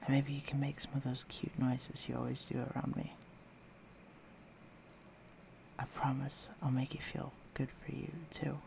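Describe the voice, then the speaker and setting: A man's voice: medium pitch, completely flat delivery, a little fast, noisy background. A man playing a flirtatious, teasing villain with a secretly tender side, speaking intimately to the listener in an audio roleplay. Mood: seductive, hushed. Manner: teasing, coaxing, breathy murmurs.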